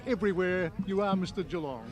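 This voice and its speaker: A man's voice: high voice, steady tone, fast talker. An Australian man working as a reporter, chatting with guests in on-location interviews outdoors at an event. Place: outdoors at a Highland games. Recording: music clearly behind the voice. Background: music and outdoor event sound.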